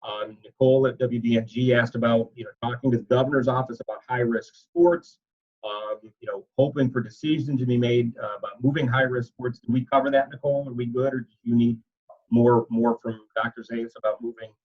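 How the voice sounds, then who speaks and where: A man's voice: mid-range voice, brisk. A man moderating a media conference call, casually and chattily relaying questions in loose wording, over lo-fi call audio. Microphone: video conference call.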